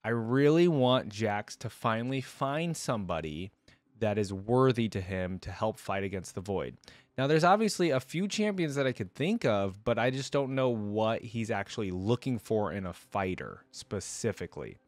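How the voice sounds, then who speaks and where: A man's voice: on the low side, animated, normal speed, no echo. A man hosting a reaction video, commenting casually and speaking to viewers.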